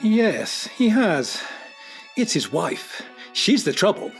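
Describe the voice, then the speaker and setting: A man's voice: high voice, very animated, slow pace, creaky, faint music behind, exaggerated voice. An actor playing a disapproving, whiskey-drinking Edwardian man, grumbling and domineering in an audio drama.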